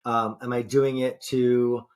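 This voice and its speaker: A man's voice: mid-range voice, flat delivery, takes it slowly, darkish tone. A man co-hosting a podcast in casual chat, rambling and swearing, often restarting his sentences. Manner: rambling, profane, frequent restarts. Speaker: podcast co-host.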